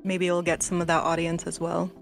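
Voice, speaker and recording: Female podcast panelist, casual conversational delivery, noticeable vocal fry, slightly low register. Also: completely flat delivery, fairly quick. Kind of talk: casual chat. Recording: faint music underneath. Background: soft background music.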